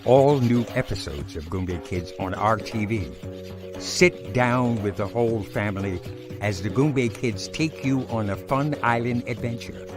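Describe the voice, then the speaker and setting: A man's voice: lowish voice, a little slow. Male promo announcer over music. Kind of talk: scripted promo read. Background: music bed.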